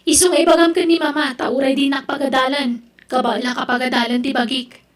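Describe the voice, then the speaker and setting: A woman's voice: sits high, level tone, fairly quick, close to an everyday voice. A woman with an Ilocano accent playing a proud, determined young student in an audio drama. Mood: stubborn, defiant. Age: young adult.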